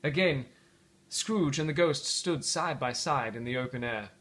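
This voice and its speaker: A man narrating a story aloud in a theatrical, expressive read-aloud style. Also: mid-range voice, measured pace. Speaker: storybook reader.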